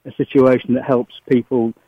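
A man with a Yorkshire accent calling a radio show, speaking in a measured, hesitant way with many fillers. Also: medium pitch, flat delivery, slightly rough, dry recording. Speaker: radio caller. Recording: tinny phone line.

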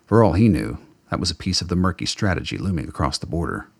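A male narrator with an extremely deep voice reads dramatic scripted narration. The tone is husky with a creaky grain, recorded very dry. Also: sing-song, fast talker, no room sound.

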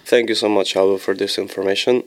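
Low flat-voiced young man hosting. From Switzerland. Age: young adult. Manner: measured, reads from notes, thanks each speaker. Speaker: podcast host, student. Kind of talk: casual student podcast.

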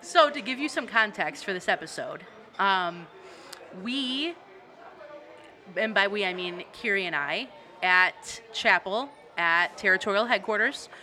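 Woman, bright voice, podcast co-host, casual chat, giggly delivery, coffee shop setting. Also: mid-range voice, lively intonation, a little slow. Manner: giggly, laughs through her sentences.

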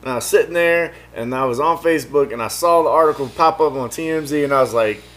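A man co-hosting a podcast, telling a story in animated casual chat, repeating words. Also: a little high, quick pace. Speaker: podcast co-host.

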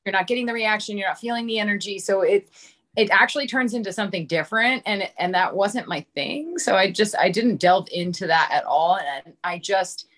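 A woman in her late thirties talks as a guest on a podcast, with a mid-range pitch. She is candid and informal, with a self-deprecating way of talking and plenty of "like" in her sentences. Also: fairly quick, a bit bright. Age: 30s. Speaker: podcast guest, comedian and content creator. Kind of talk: casual, candid conversation.

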